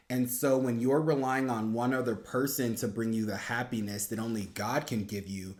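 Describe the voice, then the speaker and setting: Young male host, medium pitch, earnest, conversational, reflective faith commentary, noticeably echoey room. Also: a little mellow. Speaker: video host reviewing a song. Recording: roomy, echoing sound.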